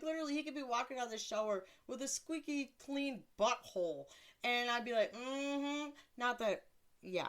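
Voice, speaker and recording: A woman in her thirties with a deeper voice, hosting a casual solo podcast in a blunt, crude, self-mocking way and laughing often. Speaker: podcast host.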